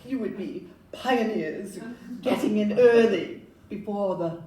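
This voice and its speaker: A woman's voice: mid-range voice, slow, mellow, lightly acted. An actress playing a polite, upbeat Victorian London estate agent in a stage audio play, genteel and salesmanlike as she glosses over flaws. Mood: upbeat pitching. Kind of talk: audio-play acting before an audience. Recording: roomy, distant live pickup, faint noise.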